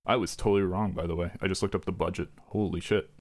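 A man's voice: deep voice, fast. A man co-hosting a podcast, leading the casual chat and asking his co-host questions. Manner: leads the talk, asks questions, animated.

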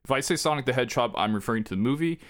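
Animated man in his forties bantering. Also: medium pitch, very fast. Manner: animated, self-mocking banter. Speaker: podcast co-host. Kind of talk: casual chat.

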